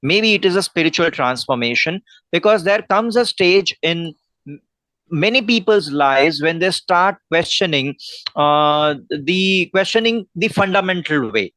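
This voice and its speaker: A man with an Indian English accent tutoring English in a one-on-one video call lesson, giving earnest advice with frequent pauses and fillers. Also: on the high side, animated, pushed and bright, no room sound, poor audio.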